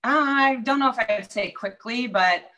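Minnesota lady on a video call, bright and a bit high, chatty with lots of ums. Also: a little slow. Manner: chatty, upbeat, many fillers. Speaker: real estate agent, guest. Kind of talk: casual interview chat.

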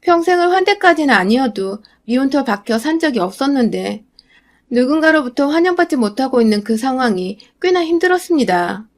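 A young adult woman reading a scripted first-person radio story in a steady, confiding way. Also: sits high, brisk.

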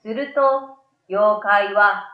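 Unhurried woman reading a story. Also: mid-range voice. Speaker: story reader. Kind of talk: expressive storybook narration. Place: small indoor room. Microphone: desk condenser microphone beside her. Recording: roomy, slightly dull sound.

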